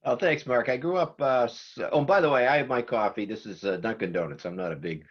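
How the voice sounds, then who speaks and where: A man's voice: medium pitch, a little fast, husky, lo-fi sound. A man in his seventies from Massachusetts with a Boston accent, chatting as a guest and laughing easily. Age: 70s. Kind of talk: casual video call chat.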